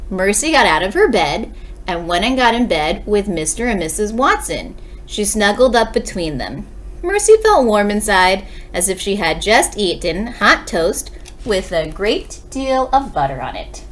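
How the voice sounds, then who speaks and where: A woman teacher reads a storybook aloud for young children. Her mid-pitched voice moves up and down in a very lively way. She uses dramatic pauses and talks straight to her young listeners. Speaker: second-grade teacher reading aloud.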